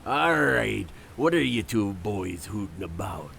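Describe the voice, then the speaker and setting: A man playing a gruff, genial old-time ship captain in a nautical fantasy audio drama. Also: fairly low pitch, very animated, unhurried, noisy background, full character voice.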